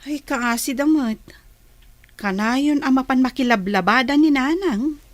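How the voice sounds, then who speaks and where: A forgiving, compassionate wife in a radio drama, acted by a woman, with a fairly high voice. She urges kindness with sympathy. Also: a little slow, no room sound. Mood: concerned.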